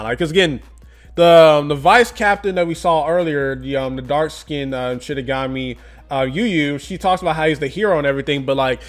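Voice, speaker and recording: Chatty man reviewing a manga. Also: on the high side. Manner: chatty, lots of fillers, laughs often. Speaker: anime and manga YouTuber. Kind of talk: casual review commentary.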